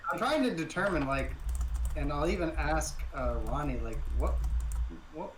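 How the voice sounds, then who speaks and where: A man's voice: a little high, lively intonation, normal speed, a little hiss or hum, rough recording. A man chatting casually as a player in a tabletop game, tentative and repeating words as he starts.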